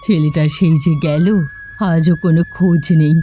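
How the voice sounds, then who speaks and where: A woman's voice: deep voice, flat delivery, normal speed, dark tone, soft background music, natural voice. A woman voicing a quarrelsome, grieving old village mother-in-law in a cartoon. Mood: fretful, sad. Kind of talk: Bengali moral-tale cartoon dubbing.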